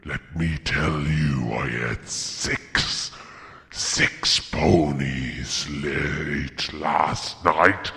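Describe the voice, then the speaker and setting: A man voicing a huge, ancient, sly and menacing dragon in a storybook reading, gravelly and booming, with slow taunting delivery and a cavernous reverb effect. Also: steady tone, sharp tone, exaggerated voice. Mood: taunting menace. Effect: deep cavernous reverb effect. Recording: long cavernous added reverb.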